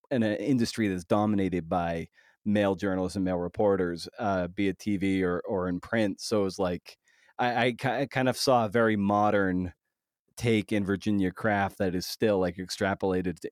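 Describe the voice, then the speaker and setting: Low-voiced man hosting an interview podcast. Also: fairly even intonation, normal speed, a little mellow, no room sound, studio quality. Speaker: podcast host. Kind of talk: conversational interview.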